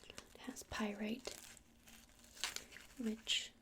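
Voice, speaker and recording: A woman whispering softly and breathily in an ASMR roleplay as a friendly witch shopkeeper, explaining things in a gentle, hesitant, rambling way. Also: mid-range voice, steady tone, very slow, noisy background. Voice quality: breathy unvoiced whisper with creaky edges. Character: friendly witch shopkeeper selling crystals and incense. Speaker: ASMR roleplayer playing a crystal shop witch.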